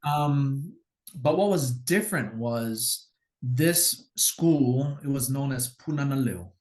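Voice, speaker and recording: Hawaiian man, mid-pitched, easygoing, explains things patiently in conversation. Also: slow. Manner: relaxed, explanatory, acts out quoted commands. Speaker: guest teacher. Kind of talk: casual storytelling conversation.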